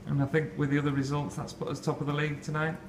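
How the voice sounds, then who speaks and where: Gravelly interviewer, very monotone. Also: male voice, a little high, rapid-fire. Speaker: club interviewer. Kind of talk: post-match interview questions. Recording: echoey room, noisy.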